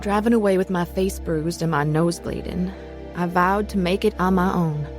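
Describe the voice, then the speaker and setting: A woman's voice: on the low side, normal speed, lightly acted. An actress playing a battered young mother with a troubled past, weary yet determined, in a radio drama. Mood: resolute. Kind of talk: radio drama narration and acting. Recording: radio drama with music behind.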